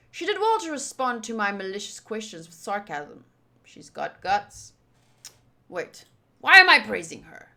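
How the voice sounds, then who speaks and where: A woman streamer reading game text aloud and reacting out loud with exclamations as she comments. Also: medium pitch. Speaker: visual novel playthrough streamer.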